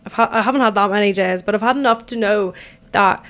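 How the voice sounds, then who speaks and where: A woman in her twenties with an Irish accent, hosting a casual solo podcast from an empty bathtub at home, her voice croaky after a night out and her talk chatty, rambling and self-deprecating. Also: mid-range voice, quick pace, a bit bright. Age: 20s. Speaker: podcast host.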